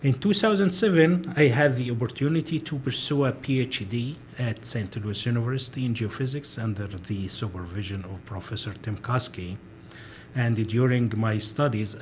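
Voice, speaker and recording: A man in his forties, born and raised in Egypt, answering interview questions as a professor in a measured, careful way with frequent fillers. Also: medium pitch, a little hiss or hum. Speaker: professor, guest.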